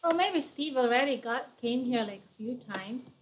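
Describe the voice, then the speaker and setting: A woman's voice: a little high, expressive, moderate pace. A woman co-hosting a casual live stream, greeting viewers by name and chatting in an easygoing way full of "like". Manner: friendly, greets viewers by name, uses "like" often.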